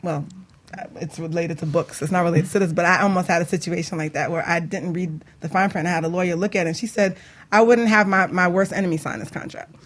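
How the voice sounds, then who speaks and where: A woman's voice: on the low side, fairly even intonation, quick pace. A woman hosting a casual interview, informal and thinking aloud as she goes. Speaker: interview host.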